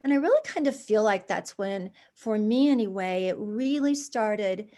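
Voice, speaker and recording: Woman, 60s, interview guest; animated storyteller who restarts and adds asides. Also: medium pitch, moderate pace. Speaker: guest, charity founder. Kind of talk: conversational interview.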